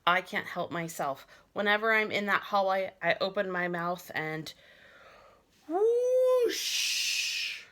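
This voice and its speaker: Woman as imaginative noisy schoolboy, storybook read-aloud, excitable, bright, wildly varied intonation. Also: mid-range voice, slow. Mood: playful, boisterous. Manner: excitable, dramatic, full of sound effects. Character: imaginative, boisterous schoolboy who loves noises. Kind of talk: children's storybook narration in character.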